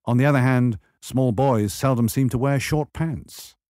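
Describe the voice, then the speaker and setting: A man reading a scripted memoir as a wry, measured storyteller. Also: medium pitch, darkish tone, no room sound, clean studio sound. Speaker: memoir reader.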